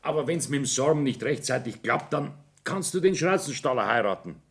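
Husky, scheming father. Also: medium pitch, expressive, moderate pace, fairly bright tone. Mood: calculating. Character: nervous, scheming Bavarian businessman father, middle-aged. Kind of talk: German radio play acting.

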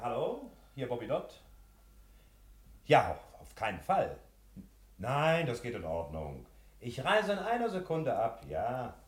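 Casting: cocksure radio-play detective, bustling and commanding, very lively intonation, slightly rough voice. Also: male voice, mid-range voice, exaggerated voice. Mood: businesslike. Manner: brisk, pompous, swinging from barking orders to smooth assurances. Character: self-assured, bustling male private detective. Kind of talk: 1960 German radio drama acting.

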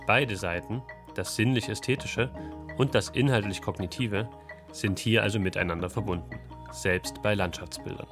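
A man reading a scripted, explanatory radio feature. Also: fairly low pitch.

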